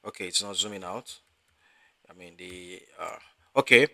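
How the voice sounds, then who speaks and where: Bright, halting male online instructor. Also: deep voice, no echo. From Africa. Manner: halting, many fillers and restarts. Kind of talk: live online class.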